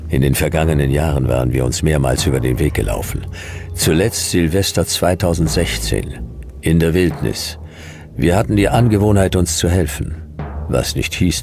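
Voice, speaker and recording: An actor playing a hard-boiled, laconic private detective, narrating a noir radio drama in terse, clipped phrases with a gravelly voice. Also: male voice, very deep voice, background noise. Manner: terse, clipped first-person storytelling.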